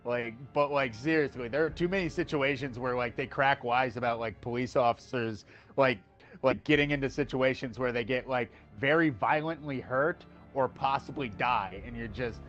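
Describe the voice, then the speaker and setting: A man's voice: medium pitch, moderate pace, fairly bright tone. Male co-host, profane, rambling rant; muffled audio, faint music. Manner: ranting, profane, many fillers and restarts. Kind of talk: casual gaming stream chat.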